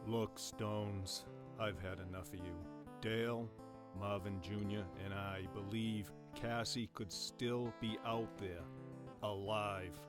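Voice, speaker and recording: A man playing a gruff, defiant family man in an audio drama, speaking in a blunt, stern, confrontational way. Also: fairly low pitch, fairly even intonation, slow, over music. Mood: angry, determined.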